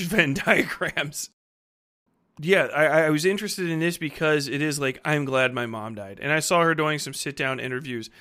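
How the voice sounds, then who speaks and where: A man's voice: a little high, bright, forceful tone. A man hosting a casual podcast book discussion, talking in a rambling, conversational way with frequent swearing. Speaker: podcast host.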